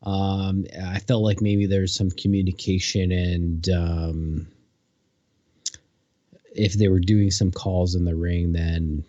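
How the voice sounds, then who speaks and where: A low-voiced man co-hosting a podcast, slow and hesitant, often stopping to find his words. His voice comes through a dull, lo-fi mic with a dry sound. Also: little pitch movement, mellow, no echo. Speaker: podcast co-host. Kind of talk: casual chat.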